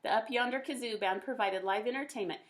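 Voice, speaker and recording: A woman reading a children's picture book aloud in an expressive, folksy storytelling manner. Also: on the high side, fast.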